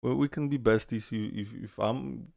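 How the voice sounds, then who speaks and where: A man whose first language is Polish, hosting a casual game reaction video and talking in an excitable, rambling way full of fillers. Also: mid-range voice, moderate pace, gravelly, dry recording, studio quality.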